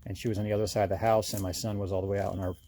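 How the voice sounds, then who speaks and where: A man's voice: low-pitched, little pitch movement, racing, warm tone, a little hiss or hum. A man, plain-spoken and conversational, talking through a casual hands-on product review.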